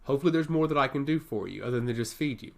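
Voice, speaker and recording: A man's voice: medium pitch, lively intonation, very fast, vocal fry. Man playing a grave, courteous god of chaos. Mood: hospitable.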